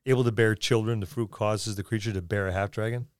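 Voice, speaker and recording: A man's voice: lowish voice, steady tone, fast, smooth, no echo, clean studio sound. A man co-hosting a podcast, chatting casually about tabletop roleplaying with wry quips. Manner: wry, quipping. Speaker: podcast co-host.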